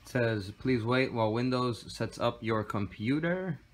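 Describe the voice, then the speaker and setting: A man's voice: mid-range voice, measured pace, slight background noise. Casual man walking through a tech fix. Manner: relaxed, step-by-step with frequent fillers. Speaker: tech tutorial host. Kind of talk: casual screen-recorded tutorial. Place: indoor room with desk and computer.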